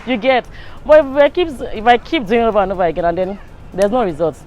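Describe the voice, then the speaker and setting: A woman's voice: mid-range voice, quick pace, darkish tone. A young woman with a Nigerian accent chatting casually in a street interview. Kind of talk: casual chat. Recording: faint outdoor traffic noise.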